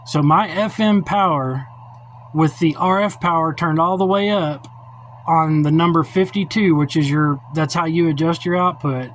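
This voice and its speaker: A middle-aged man giving an informal, unscripted how-to demonstration in a blunt, opinionated, plainspoken way. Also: a little high. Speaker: radio hobbyist giving a tutorial. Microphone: cell phone held or set up while filming. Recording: noisy cell phone recording.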